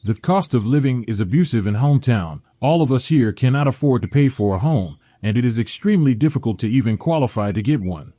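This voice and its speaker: A man's voice: mid-range voice, lively intonation, brisk, few pauses, warm tone, dry recording, natural voice. A man playing an agitated, embittered homeless middle-aged ex-teacher, blunt, folksy and profane, in a dramatized comic. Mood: bitter ranting.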